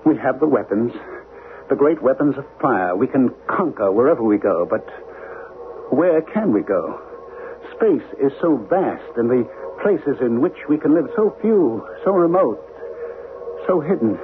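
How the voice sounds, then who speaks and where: Through a narrow, phone-like band with faint hiss comes a male actor playing a haunted husband in a seventies radio play. He is earnest and obsessed, pressing urgently and then hanging on dramatic pauses as if gripped by dread. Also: mid-range voice, animated, lightly acted. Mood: haunted, dreamy. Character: obsessed, frightened husband convinced of alien invasion. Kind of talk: 1970s radio drama acting. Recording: noisy, band-limited 1970s radio broadcast.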